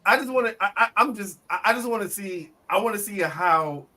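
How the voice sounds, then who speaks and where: Raspy-ish guy, bright and a bit high, stumbles and repeats words when hyped. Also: lively intonation, a little fast. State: slightly raspy voice. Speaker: podcast co-host. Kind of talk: casual wrestling review chat.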